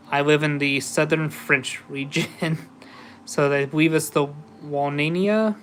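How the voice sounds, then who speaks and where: Chill guy building lego on a stream, slow and pretty monotone, slightly high voice. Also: faint music behind. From USA. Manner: slow, easygoing, chatty with viewers, laughs often. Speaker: livestream host. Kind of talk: casual chat while building Lego live.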